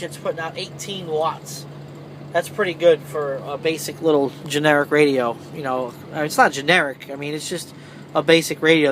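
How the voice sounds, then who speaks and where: A man with a high-pitched voice talks through a casual hands-on tutorial. His delivery is informal and rambling, with some salty language. There is some vehicle noise around him, as if recorded in a truck cab. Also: fairly bright tone. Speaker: truck driver presenting a how-to video. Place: inside a parked semi truck cab. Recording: clearly noisy vehicle ambience.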